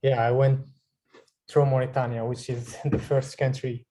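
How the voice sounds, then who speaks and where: A young man from Morocco talks over a video call, his voice mellow and on the darker side. He pauses often and restarts his phrases as he looks for the right words, at a middle pitch. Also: level tone. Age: 20s. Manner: hesitant, searching for words with many restarts. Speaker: guest filmmaker.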